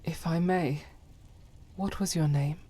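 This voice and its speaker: A woman playing a devout warrior in a game mod, earnest and solemn, with halting formal phrasing and breathy whispers. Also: low-pitched, fairly even intonation, warm tone, heavily acted. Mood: humbled, tender. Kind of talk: dramatic video game mod voice acting.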